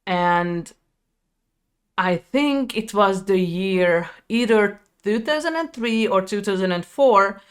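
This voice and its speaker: Video creator, female, thirties, Hungarian accent. Unhurried, smooth delivery for reflective personal storytelling. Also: mid-range voice, animated. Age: 30s.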